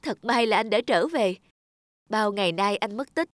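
A woman's voice: medium pitch, lively intonation, moderate pace, faint music behind, close to an everyday voice. A woman voicing a devoted, forgiving younger sibling in a children's cartoon, earnest and caring. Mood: anxious devotion.

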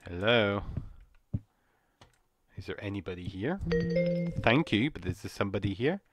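A man with a slightly croaky voice, rambling and jumpy as he comments on a horror game. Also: lowish voice, lively intonation, moderate pace, over music. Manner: rambling, jumpy, muttering to himself. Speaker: gaming channel host. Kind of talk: casual horror gameplay commentary.

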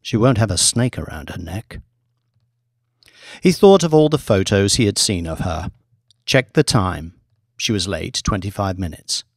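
A man reading a scripted audiobook story in a measured, deliberate storytelling manner. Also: lowish voice, expressive, no echo, studio quality. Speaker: audiobook narrator, author reading own book.

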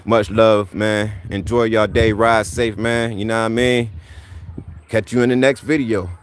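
A man's voice: lowish voice, level tone, unhurried. A man in his thirties talking casually on an outdoor livestream, rambling and repeating "man" as he gives motivational advice. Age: 30s. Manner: rambling, repeats "man" often, laid-back preachy. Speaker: livestream host. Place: outdoors in a backyard driveway.

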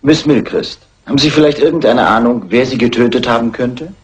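An actor playing a patient, slightly weary police inspector in a German radio crime drama, measured, official and courteous. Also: male voice, mid-range voice, crowd noise, lightly acted. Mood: polite, questioning.

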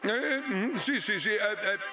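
A man's voice: sits high, takes it slowly, over a noisy crowd. A man voicing a kindly, flustered middle-aged town official in a dubbed cartoon, stammering and repeating himself. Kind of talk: cartoon dubbing. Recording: echoey, music underneath.

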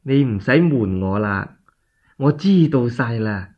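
Soft, husky devoted noblewoman acted by a man. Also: medium pitch, expressive, slow pace, lo-fi sound, voice pushed down. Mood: concerned. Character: gentle, devoted noble young wife. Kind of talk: one-man Cantonese radio storytelling drama.

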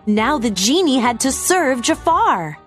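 A woman reading a children's storybook aloud as narrator, with expressive scripted storytelling delivery. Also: high voice, a little slow. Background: background music.

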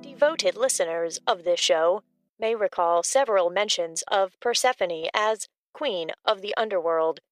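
A woman's voice: medium pitch, a little slow, dry recording, faint music behind. A woman gives scripted factual asides as a co-narrator. Her mid-range voice is recorded close and dry, with no room sound.

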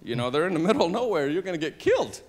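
A middle-aged man from Cambodia, a native Cambodian speaker, telling his life story on a church stage into a handheld mic, halting with many fillers and restarts. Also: a little high, sing-song. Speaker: interview guest. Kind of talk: personal testimony on stage.

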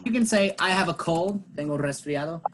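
A man's voice: a little high, a little fast, noisy background. A man teaching an online English class, patiently explaining in English and Spanish.

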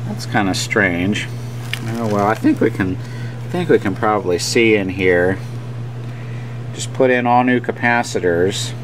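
A man with a fairly low voice walks through a technical repair in a casual, unscripted way. He sounds matter-of-fact, like someone demonstrating at a workbench on a handheld camera. A faint steady hum and room noise sit behind him. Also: measured pace, long pauses. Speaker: radio repairer presenting his work. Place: indoor workbench.

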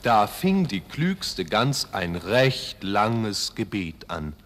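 A man narrating a children's fairy tale in an expressive scripted style, with deliberate, dramatic phrasing. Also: lowish voice.

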